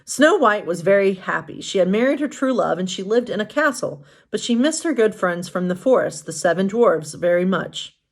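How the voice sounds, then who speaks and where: A woman pastor hosting bedtime stories, reading aloud in an informal, expressive way with casual asides. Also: mid-range voice, normal speed. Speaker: pastor and bedtime story host.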